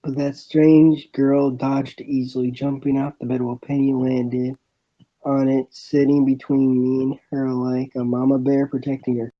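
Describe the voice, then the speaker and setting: A man's voice: medium pitch, flat delivery, unhurried, close to an everyday voice. Man playing a wry young student, dull recording. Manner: dry, sardonic. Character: wry, bemused young male student narrating his thoughts. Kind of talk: first-person visual novel narration.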